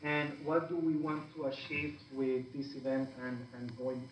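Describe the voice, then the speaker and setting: A man with a Puerto Rican accent moderating a panel, talking to a full room in an unhurried way with pauses between phrases. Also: mid-range voice, fairly even intonation, far from the mic, lo-fi sound, background noise. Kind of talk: public talk to an audience. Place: large event room with an audience. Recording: echoey room recorded from afar.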